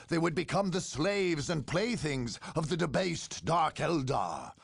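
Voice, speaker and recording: A man's voice: a little high, creaky, dry recording. A man delivering grave, solemn, theatrical scripted narration for video game cutscenes, with music behind him. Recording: music under the voice.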